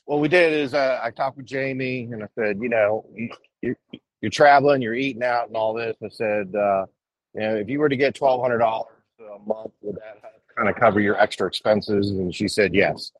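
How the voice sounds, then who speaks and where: A man with a somewhat low voice explains things on a live show, stopping often to hesitate. The sound is dry and close. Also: normal speed, no room sound, low-quality recording. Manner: hesitant, full of ums. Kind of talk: casual live chat.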